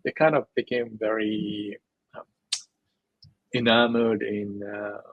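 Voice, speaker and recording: Brief: male guest, gravelly and husky tone, emphatic and filler-heavy, dry close recording. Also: fairly low pitch, flat delivery, slow pace, no echo, narrow, tinny audio. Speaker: guest, crypto scientist. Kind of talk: casual interview talk.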